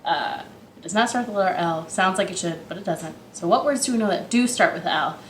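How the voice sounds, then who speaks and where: A woman's voice: lowish voice, fairly quick, reverberant, a little hiss or hum. A woman hosting an interactive storytime for young children, chatty and playful, with rambling asides. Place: living room at home.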